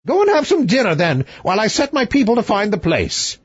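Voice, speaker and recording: A man voicing a hot-tempered old king who blusters and shouts, on a dull lo-fi recording. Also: sits high, wildly swooping pitch, a little fast, breathy edge, no echo, voice pushed up, exaggerated voice. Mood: blustering.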